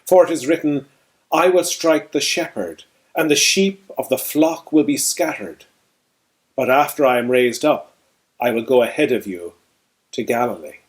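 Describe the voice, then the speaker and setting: A man's voice: mid-range voice, normal speed. A man of the clergy reading the words of Jesus in a solemn, dramatised scripture reading.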